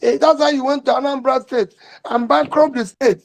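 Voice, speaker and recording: A man's voice: very high voice, steady tone, moderate pace, gravelly. A man speaking at a public event in short, blunt, emphatic sentences.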